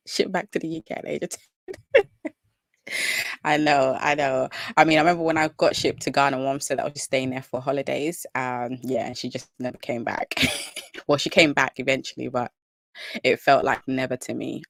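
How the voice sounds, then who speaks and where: A young British woman chats brightly through a live stream, greeting viewers by name as she goes. Her voice carries a slight gravelly fry, and the sound is dry and close to the mic. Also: a bass voice, no echo. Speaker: natural hair educator hosting a live Q&A.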